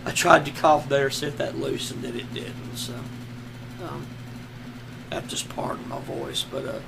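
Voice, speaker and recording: A hoarse man lying on the floor at home, talking slowly and despairingly in halting, trailing sentences. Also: medium pitch, fairly even intonation, heavy background noise. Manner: slow, halting, despairing, many trailing restarts. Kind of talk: casual personal vlog.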